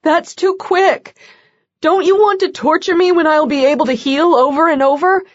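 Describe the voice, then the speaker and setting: A woman's voice: very high voice, steady tone, normal speed, no room sound, voice pushed up. A woman voicing a desperate, pleading young man. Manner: begging, frantic. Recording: dull lo-fi dry audio.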